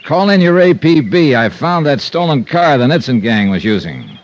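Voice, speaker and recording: A man playing a hard-boiled American investigator from old-time radio. He is confident and wry, with animated swings of pitch and a smooth, needling way of talking. The vintage recording sounds dull. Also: normal speed, natural voice. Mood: brisk, assertive. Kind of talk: 1950s radio detective acting and narration.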